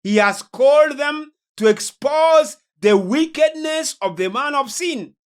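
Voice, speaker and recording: Slow-paced Kenyan male preacher. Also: very high voice, lively intonation. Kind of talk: sermon-like religious lecture.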